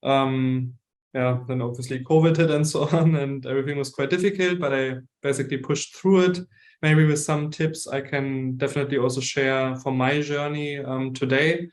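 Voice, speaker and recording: A man's voice: medium pitch, fairly even intonation, normal speed. A young man from Germany with a German accent, speaking casually and conversationally as a guest expert in an online session. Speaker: guest expert, recruitment consultant. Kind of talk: casual self-introduction to an online audience. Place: online video meeting.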